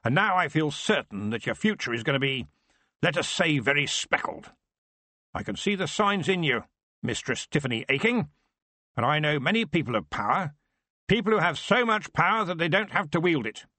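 A grand old wizard, as a male narrator puts him on, booming and brusque with no time for small talk. His husky voice swings widely in pitch, recorded close and dry. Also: big pitch swings, moderate pace, sharp tone, dry recording, exaggerated voice. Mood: solemn.

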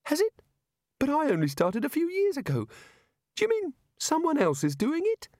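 A man voicing an anxious, absent-minded male god who talks in a high, puzzled, fussy way. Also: wildly swooping pitch, moderate pace, darkish tone, no echo, clean studio sound, heavily acted. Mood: fretful.

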